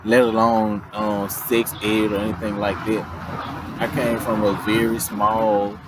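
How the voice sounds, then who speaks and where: A man, talking in a relaxed, conversational way about his story. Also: lowish voice, unhurried, heavy background noise. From Mississippi, US. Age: 20s. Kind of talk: interview testimony.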